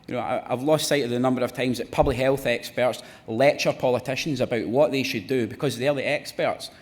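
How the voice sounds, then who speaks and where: A man with a Glaswegian accent delivers an earnest conference talk, circling back with "you know" and the odd restart. His voice sits at an ordinary middle pitch. A long reverb from the hall trails behind every phrase. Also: steady tone, a little fast. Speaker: academic speaker at a panel. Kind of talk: conference presentation.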